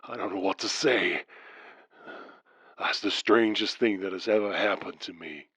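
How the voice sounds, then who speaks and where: A man performs a haunted male caller in a horror story. His voice is fairly low and very dry, with no room around it. He confides in a halting, uneasy way. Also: a bit bright, breathy edge, no echo, full character voice. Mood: haunted, confiding. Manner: halting, uneasy confessional telling. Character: haunted male radio caller recounting demonic encounters.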